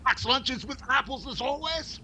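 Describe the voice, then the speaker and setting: A man playing a wry, dutiful valet in a 1940s-style radio comedy, delivering deadpan wisecracks. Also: very high-pitched, normal speed, noisy background. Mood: teasing, dutiful. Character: wry, unflappable male valet, dutiful but teasing.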